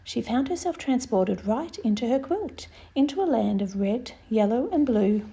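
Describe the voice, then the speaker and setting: Soft-toned, high-voiced woman reading to children. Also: normal speed, creaky. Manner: expressive, addresses young listeners directly. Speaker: children's story-time presenter. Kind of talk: read-aloud picture book narration for children.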